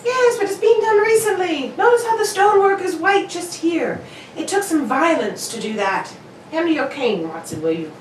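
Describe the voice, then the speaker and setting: A woman playing a brisk, confident, analytical detective, reasoning precisely in the manner of an old radio mystery. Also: very high-pitched, lively intonation. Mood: keen, curious. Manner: precise, probing, theatrically emphatic reasoning. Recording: roomy, distant, noisy home recording.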